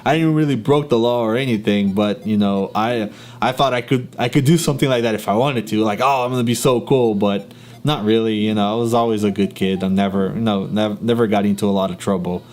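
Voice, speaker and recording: A man's voice: on the low side, steady tone, fairly quick, darkish tone. A young man from Brazil with a Brazilian Portuguese accent, talking casually to camera, laughing often and filling gaps with ums. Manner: chatty, laughs often, many fillers and restarts. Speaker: YouTuber.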